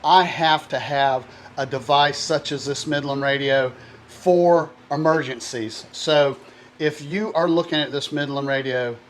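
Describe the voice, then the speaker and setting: Guy doing a product review, plain steady explaining, faint hiss in the background. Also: on the high side, a little slow.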